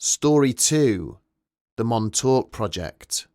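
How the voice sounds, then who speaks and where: A man narrating a scripted documentary story in a measured, grave and formal manner. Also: mid-range voice, animated, warm tone.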